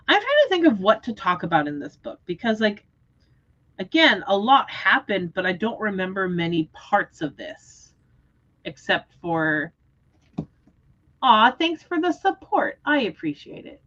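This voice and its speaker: A woman hosting a casual book discussion livestream, talking in an animated, expressive way. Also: fairly low pitch, lo-fi sound. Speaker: host of book readalong livestream.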